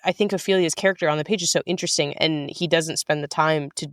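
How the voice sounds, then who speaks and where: A woman's voice: low-pitched, steady tone, fast talker, no echo, studio quality. A woman answering as an interview guest in casual, thoughtful talk, often rephrasing and circling back as she goes. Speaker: interview guest, writer of audio fiction.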